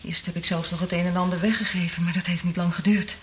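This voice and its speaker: An actress in a radio drama playing a traumatised woman survivor, half-mad from isolation, speaking in an anguished, halting, dramatic way. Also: on the low side, fairly even intonation, slight background noise, natural voice. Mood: haunted recounting.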